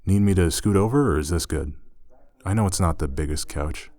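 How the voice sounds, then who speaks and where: A man acts out a young male roommate in an intimate ASMR audio roleplay, his voice deep and recorded very dry. He is easygoing and gently teasing, a little awkward, with casual phrasing and hesitant trailing asides. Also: fairly quick, dry recording. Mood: relaxed, friendly.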